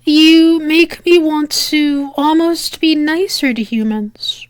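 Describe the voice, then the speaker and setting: A teasing, lovestruck demoness, acted by a woman in an ASMR roleplay, with a high-pitched voice. She talks slowly and haltingly to her beloved, in a very dry, close recording. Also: no echo. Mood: nervous, earnest. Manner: hesitant, halting, teasing endearments. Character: teasing, lovestruck female demon confessing to angel lover. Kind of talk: intimate ASMR roleplay acting.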